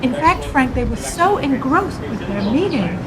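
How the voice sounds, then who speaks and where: An actress as an earnest, high-voiced bank worker. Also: female voice, big pitch swings, moderate pace, crowd noise. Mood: anxious, confiding. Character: earnest, anxious female bank worker confiding news. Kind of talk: radio play dialogue. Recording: clear rumbling background noise.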